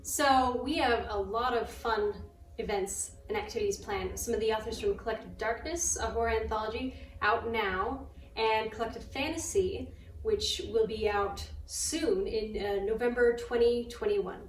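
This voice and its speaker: A woman's voice: on the high side, moderate pace. A woman co-hosting and introducing an event. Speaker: co-host, publisher. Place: indoors in a bookshop. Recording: echoey, distant mic, faint noise.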